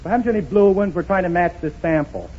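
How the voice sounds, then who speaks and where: A man's voice: sits high, racing, dark tone, husky. An actor playing a naive, scolding know-it-all man in a 1930s radio comedy, prim and full of exclamations. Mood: naive delight. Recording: scratchy dull 1930s radio recording.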